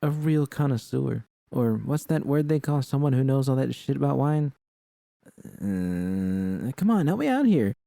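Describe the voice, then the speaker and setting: Man voicing teasing, laid-back V, pitched up. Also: very animated, unhurried, vocal fry, dark tone, dry recording, clean studio sound. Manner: teasing, casual.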